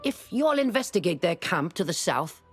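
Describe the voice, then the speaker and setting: A woman's voice: lowish voice, big pitch swings, moderate pace, husky, soft background music. An actress playing a brash, impatient female scholar in a video game, speaking in a theatrical, haughty, emphatic way. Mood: haughty, measured. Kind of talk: video game character dialogue.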